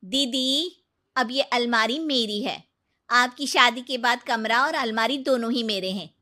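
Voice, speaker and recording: A woman's voice: a little high, a little slow, bright, forceful tone. A woman voicing a self-assured, assertive young sister-in-law with a firm, pointed manner in a cartoon moral story. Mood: territorial.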